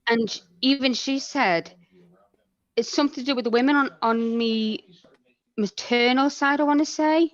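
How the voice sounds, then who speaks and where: A woman's voice: high-pitched, normal speed, no room sound, lo-fi sound. A woman telling personal stories casually as a podcast guest.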